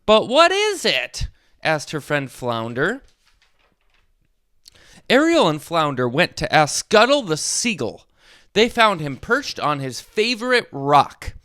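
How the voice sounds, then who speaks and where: High-voiced, animated man reading to kids. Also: slow, no echo, studio quality. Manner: expressive, addresses young listeners directly. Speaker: storyteller, host of a read-along channel. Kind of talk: animated children's read-aloud with short asides.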